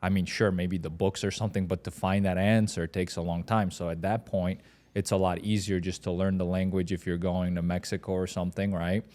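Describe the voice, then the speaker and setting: A man's voice: deep voice, little pitch movement, fairly quick, darkish tone. A man from Poland, Polish his first language, co-hosting a podcast in hesitant casual chat, full of "like" and "right". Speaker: podcast co-host.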